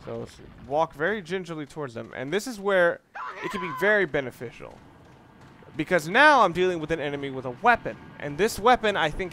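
A man with a somewhat high-pitched voice talks through a video game as he plays it. His explanations are analytical and halting, unscripted. Game sounds and noticeable noise run behind his voice. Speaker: let's play commentator. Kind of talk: unscripted gameplay commentary. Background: video game sounds and music.

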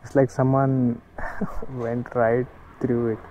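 A man's voice: medium pitch, slow pace. A man riding a motorbike along the coast and chatting casually to his viewers, chuckling often. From coastal Karnataka, India. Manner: relaxed, chuckling often, addresses viewers as guys. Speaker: motorcycle vlogger. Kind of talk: casual vlog chat while riding. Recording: dull lo-fi audio with loud road and engine noise.

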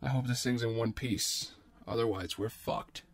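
Reluctant scavenger dad male actor: terse, deadpan, fry in the voice, sci-fi radio play. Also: mid-range voice, moderate pace, no room sound, close to an everyday voice. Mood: terse, cynical. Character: gruff, cynical, reluctant male space scavenger, a father.

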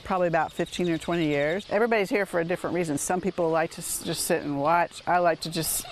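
A woman's voice: fairly low pitch, fast. Lady birder talking outside, easygoing, faint noise. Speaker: visiting birder. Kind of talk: interview answers. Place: outdoors at a bird sanctuary.

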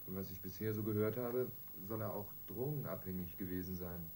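An actor playing a matter-of-fact police investigator in a radio crime drama, reporting in a measured, factual way. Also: male voice, lowish voice, dark tone, a little hiss or hum, lightly acted.

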